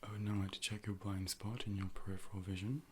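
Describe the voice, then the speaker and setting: Soft, low-voiced man doing ASMR. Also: background noise. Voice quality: soft, breathy, often dropping into whispers. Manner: calm, measured, gently instructing and reassuring. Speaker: ASMR performer role-playing an optician. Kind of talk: ASMR, soft-spoken medical role play.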